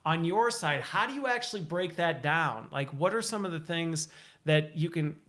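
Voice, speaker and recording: A man's voice: fairly high pitch, lively intonation, normal speed, fairly bright tone. A talkative man hosting a conversational interview, thinking aloud, recapping and asking curious questions. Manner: talkative, inquisitive, recaps and checks back. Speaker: show host and interviewer.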